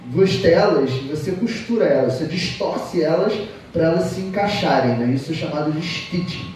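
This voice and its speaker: A Brazilian man in his thirties giving an informal technical talk, explaining haltingly with repeated words. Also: fairly high pitch, animated, darkish tone. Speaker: conference speaker. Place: auditorium stage, seated at a table. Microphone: distant camera in the audience. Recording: echoey hall, distant mic, background noise.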